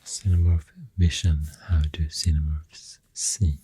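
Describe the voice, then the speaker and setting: A man with a Swedish accent speaking softly in a halting, half-whispered ASMR ramble, close to the microphone. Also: very deep voice, level tone, slight background noise. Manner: hushed, breathy, half-whispered. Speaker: ASMR host. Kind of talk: soft-spoken ASMR rambling.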